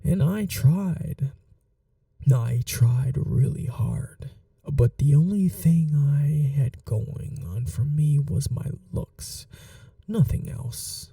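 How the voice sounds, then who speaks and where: A man voicing a bitter, possessive young male vampire in an ASMR audio roleplay, speaking softly and confessionally and sometimes dropping to a whisper. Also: a little high, expressive, creaky, dry recording, distant mic, exaggerated voice. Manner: slow, soft-spoken, confessional, drops to whispers.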